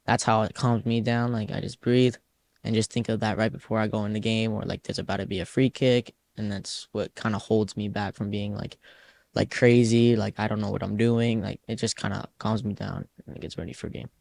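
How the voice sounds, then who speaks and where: A man's voice: lowish voice, little pitch movement, a little fast, gravelly, a little mellow, dry recording. A teenage boy, a youth soccer player, answering interview questions in a loose, rambling way full of "like" fillers. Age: teens.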